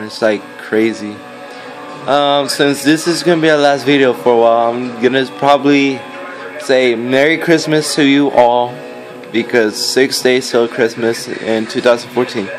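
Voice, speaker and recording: A man's voice: medium pitch, low-quality recording. A fourteen-year-old boy giving casual, hesitant, rambling gameplay commentary, recorded on a phone. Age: teenager, fourteen. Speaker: gaming video maker. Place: indoors, filming a game on a screen. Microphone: handheld phone. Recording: phone recording with game music behind. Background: game music.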